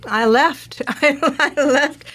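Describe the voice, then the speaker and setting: A woman in her sixties from Canada, a skating choreographer, telling stories as a talk-show guest with a relaxed, chatty flow. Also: high voice. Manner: relaxed, chatty, fills pauses with "um". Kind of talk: casual talk-show storytelling.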